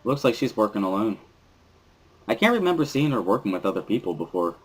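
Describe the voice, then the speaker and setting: A man's voice: fairly low pitch, big pitch swings, brisk, husky. A man reading first-person scripted narration from a visual novel as a dramatic reading.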